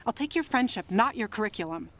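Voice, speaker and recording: A woman's voice: medium pitch, lively intonation, quick pace, close to an everyday voice. A woman playing a troubled, guarded woman in a naturalistic radio drama, sardonic, weary and prickly. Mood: defiant. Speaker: actor in an audio drama.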